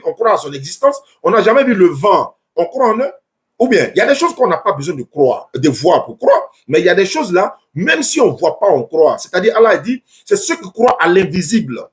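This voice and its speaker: A man's voice: high voice, sing-song, brisk. A man, preaching on a live stream and talking with callers, earnest and repetitive, with many stumbling restarts.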